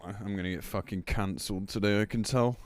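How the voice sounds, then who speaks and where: Low-voiced man streaming, dry close sound. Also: fairly even intonation, fast talker, no room sound. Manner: blunt, sweary, exasperated. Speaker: game live streamer. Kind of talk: casual live-stream commentary with read-aloud announcement.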